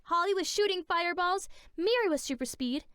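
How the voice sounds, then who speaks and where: Cartoon superhero girl, conscientious explainer, high pitched, dry studio sound woman performs. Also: a little fast, fairly bright tone, dry recording. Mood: earnest explaining. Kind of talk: cartoon voice acting.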